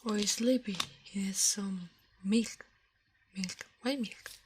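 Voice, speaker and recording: A woman hosting an ASMR livestream in a breathy, hushed voice that often drops to a whisper, murmuring slowly close to the microphone. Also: mid-range voice, noisy background.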